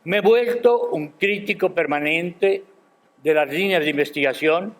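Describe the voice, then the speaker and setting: An older man giving a formal academic speech at a university ceremony, measured and emphatic, posing rhetorical questions. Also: on the high side. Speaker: guest speaker at a university ceremony. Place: university auditorium.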